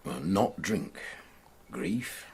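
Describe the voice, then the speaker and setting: Radio drama: gossipy Yorkshire publican, deep, animated, plain-spoken, faint room noise. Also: male voice, very slow, vocal fry, exaggerated voice. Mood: recollecting. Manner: chatty, colloquial, chuckling. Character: chatty, blunt Yorkshire pub landlord, fond of gossip.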